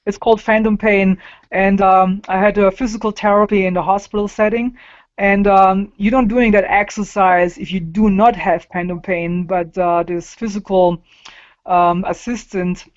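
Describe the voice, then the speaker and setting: A woman with a German accent, a native German speaker, answering interview questions casually in a halting way, often repeating words and using non-native phrasing. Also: mid-range voice, steady tone, muffled recording. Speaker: interview guest.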